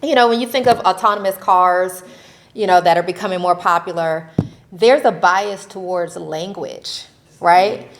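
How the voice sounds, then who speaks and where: A woman advocate speaking on a convention panel, animated and laughing often, ending her points with "right?". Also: on the low side, moderate pace, a little hiss or hum. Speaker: faith-based advocacy panelist. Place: convention session room.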